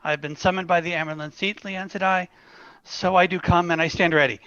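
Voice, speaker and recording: Nervous young shepherd , male actor, higher-pitched, bright, formal fantasy delivery, dry lo-fi sound. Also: steady tone, fast talker, clear, resonant tone, dry recording. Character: nervous young shepherd man summoned before powerful women. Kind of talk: table read of a fantasy screenplay.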